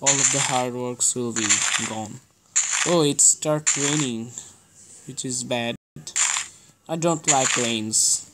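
Slow Bangladeshi gamer, very noisy recording. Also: mid-range voice. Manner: halting, non-fluent English. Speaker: gaming video creator. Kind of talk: casual gameplay commentary.

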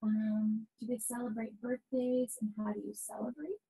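A woman's voice: fairly high pitch, flat delivery, moderate pace, warm tone, husky, poor audio. A woman on a video call passing on students' questions to a presenter.

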